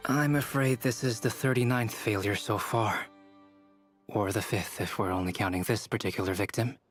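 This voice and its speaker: An actor playing a detached, analytical scholar in a video game, speaking in precise, formal phrases. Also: male voice, on the low side, fairly even intonation, normal speed, faint music behind, close to an everyday voice. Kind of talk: video game character acting.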